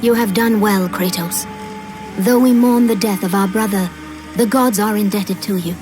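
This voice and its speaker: Game deity: female actor as stately, authoritative goddess, calm and formal, over a musical score. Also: mid-range voice, moderate pace, natural voice. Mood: solemn. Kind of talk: video game dramatic acting. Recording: music behind the voice.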